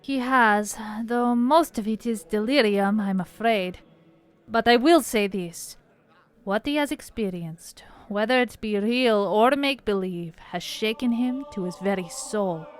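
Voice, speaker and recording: An actress playing a calm, caring nun who speaks in a gentle, grave, measured way in an audio drama. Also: female voice, medium pitch, clear, resonant tone, natural voice.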